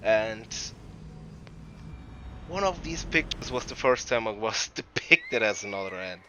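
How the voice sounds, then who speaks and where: A man's voice: lowish voice, expressive, unhurried, pushed and bright, a little hiss or hum. A man who is not a native English speaker, giving casual, rambling let's play commentary, often interrupting himself. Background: video game music and sounds.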